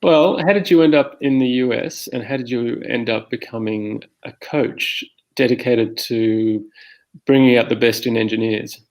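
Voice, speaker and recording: A man's voice: medium pitch, normal speed, darkish tone. A middle-aged man with an Australian accent hosting an unscripted podcast, explaining things in an informal, rambling way. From Armidale, New South Wales, Australia. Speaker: podcast host. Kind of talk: unscripted conversational introduction.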